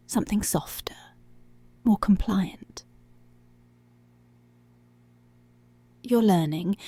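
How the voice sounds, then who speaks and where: A woman playing a commanding, seductive policewoman in an intimate ASMR roleplay, speaking slowly and deliberately in a breathy voice that often drops to a whisper. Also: mid-range voice, warm tone, dry recording. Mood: intimate, approving. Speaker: ASMR voice actor.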